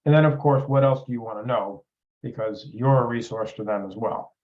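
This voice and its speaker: Male discussion facilitator, mid-range voice, deliberate and explanatory, on muffled lo-fi meeting audio. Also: medium pitch. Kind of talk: leading a group training discussion.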